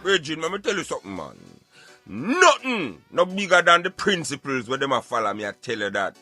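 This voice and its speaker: A man's voice: fairly high pitch, very animated, fairly quick, pushed and bright, slightly rough, soft background music. A Jamaican man arguing emphatically in Patois, in an impassioned debate. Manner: emphatic, argumentative, speaking in Patois. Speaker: radio show co-host.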